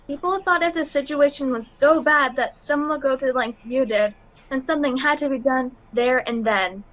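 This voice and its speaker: A woman's voice: sits high, moderate pace, a bit bright, dull audio. A woman playing a reasonable, reassuring officer from Earth in a radio play. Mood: explaining.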